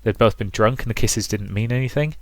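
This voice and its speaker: A man with a fairly low voice narrates a visual novel aloud in a storybook style. The recording is dry and close, with little room sound. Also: a little fast, no echo. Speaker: let's player reading a visual novel aloud.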